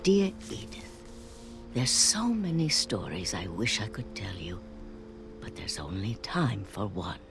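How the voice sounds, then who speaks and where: An actress playing an elderly, stubborn, wistful great-grandmother with a creaky aged voice, telling stories slowly and deliberately in a video game. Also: very deep voice, big pitch swings, music in the background, exaggerated voice. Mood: wistful, hushed. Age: older. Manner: slow, deliberate delivery. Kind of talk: dramatic video game storytelling.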